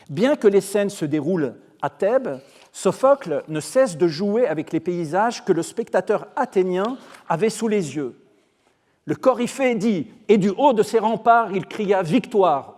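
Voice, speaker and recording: Male lecturer, first language Arabic, high voice, measured and emphatic, in a large echoing hall. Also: a little mellow. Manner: measured, emphatic, slows on key phrases. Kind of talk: formal lecture. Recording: long hall reverb.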